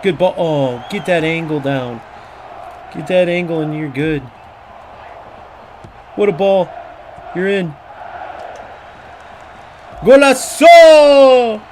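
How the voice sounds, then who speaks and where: A man's voice: sits high, expressive, slow, pauses often, a little mellow, a faint crowd. A man streaming a football game, commentating live with profane, ranting trash-talk about his opponents. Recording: clear background noise.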